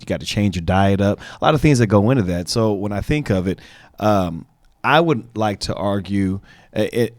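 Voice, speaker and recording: A man's voice: on the low side, a little mellow, dry recording. A man hosting a legal discussion podcast, talking conversationally with frequent fillers and restarts. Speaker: podcast host.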